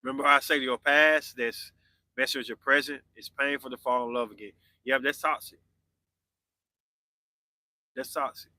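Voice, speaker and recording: A man in his forties hosts a live podcast and talks casually with his viewers. His voice is very bright and cutting. The sound is close and dry. He answers their comments in a loose, conversational way. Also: mid-range voice, fairly even intonation, normal speed, pauses often, no room sound, low-quality recording. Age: 40s. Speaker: podcast host.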